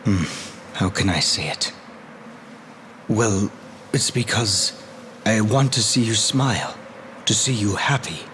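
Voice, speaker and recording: Reserved young knight, fairly low voice, earnest, formal, devoted, video game drama, faint background noise. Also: male voice, slow pace, long pauses, a bit bright. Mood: hesitant, tender. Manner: formal, hesitant, sincere.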